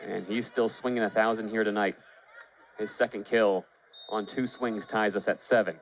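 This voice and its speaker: A man's voice: lowish voice, fairly even intonation, moderate pace, slight background noise. A man calling live sports on the radio as a play-by-play announcer, fluent and descriptive, lifting his voice on big plays. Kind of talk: live sports commentary for baseball and volleyball.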